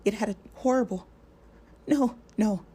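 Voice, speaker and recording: A woman's voice: medium pitch, warm tone, husky, pitched above the performer's own voice. A woman doing a frightened stammering hobbit. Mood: haunted. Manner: stammering, halting. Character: frightened young male hobbit, stammering and shaken.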